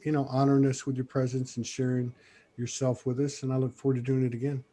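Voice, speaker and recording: A man's voice: mid-range voice, fast talker. Easygoing male podcast host. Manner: relaxed, rambling with many fillers. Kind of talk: casual interview chat.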